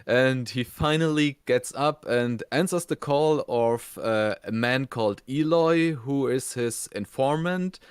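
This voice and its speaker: A man co-hosting a casual review, retelling scenes in a hesitant, halting way. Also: mid-range voice, clear, resonant tone, no echo, studio quality. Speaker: reviewer, co-host.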